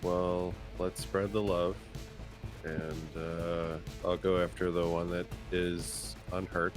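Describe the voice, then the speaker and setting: A man's voice: low-pitched, level tone, slow, over music. A man playing a tabletop roleplaying game, talking casually and in slangy, laid-back phrases as he decides what to do. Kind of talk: casual chat during play.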